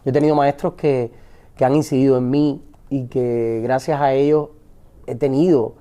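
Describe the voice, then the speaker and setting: Cuban man speaking in a dark, measured voice. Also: medium pitch, fairly even intonation, clear, resonant tone. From Cuba. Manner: measured, reflective, with frequent hesitations. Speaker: actor and poet guest. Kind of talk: interview conversation.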